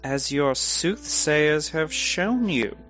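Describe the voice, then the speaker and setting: Audio drama: sly, lofty courtly schemer, played by a man, extremely slow and deliberate delivery, faint music underneath. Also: on the high side, lively intonation, a bit bright. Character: sly, scheming noble uncle of a shadowy fairy court. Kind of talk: scripted audio drama acting.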